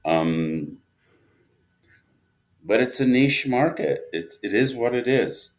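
A man's voice: medium pitch, animated, slow pace. A man speaking as an interview guest in casual, reflective conversation, rambling along in a self-deprecating way. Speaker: interview guest, audio drama writer and producer.

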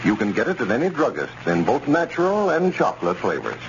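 Animated American man, old radio announcer. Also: medium pitch, fairly quick. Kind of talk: 1930s radio announcing, scripted ad narration. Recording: muffled, noisy 1930s radio recording.

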